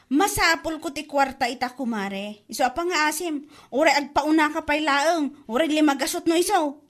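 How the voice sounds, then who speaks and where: An actress plays an exasperated woman moneylender in a comedy radio drama. Her voice is high, and she nags and complains as she presses people to pay up. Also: normal speed, close to an everyday voice. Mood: indignant, pressing. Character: irritated, nagging woman lender collecting unpaid debts.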